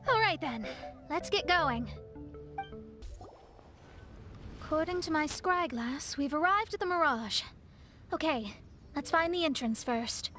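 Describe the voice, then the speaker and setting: A woman playing a proud, self-conscious young astrologer with a brisk, expressive manner in a video game. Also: high voice. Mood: businesslike. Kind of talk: video game cutscene acting.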